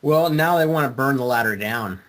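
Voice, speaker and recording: A man's voice: medium pitch, a little fast, fairly bright tone. A young man chatting casually as part of a gaming video group.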